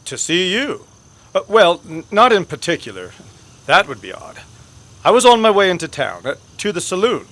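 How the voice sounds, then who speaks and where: Man acting a courtly, lively-pitched Western gentleman. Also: normal speed. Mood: flustered, playful. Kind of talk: old-time radio western acting.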